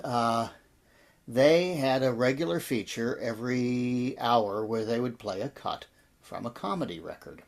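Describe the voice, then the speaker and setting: Slow-talking American man in his sixties. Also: mid-range voice, gravelly. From American Midwest and Texas. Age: 60s. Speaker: interview guest, audio drama producer and actor. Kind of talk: reminiscing in an interview.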